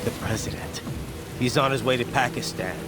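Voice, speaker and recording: A man's voice: medium pitch, brisk, background noise, natural voice. An actor playing a terse male cyborg mercenary who speaks in clipped, decisive commands. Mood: determined. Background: music.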